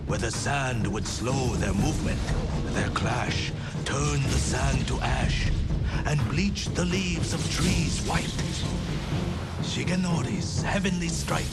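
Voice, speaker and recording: Game narration, solemn tale-teller, unhurried, measured and epic, prominent music underneath. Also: male voice, a little high. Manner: measured, dramatic, reverent. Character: solemn legend-telling storyteller of feudal Japan. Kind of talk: scripted legend narration in a game.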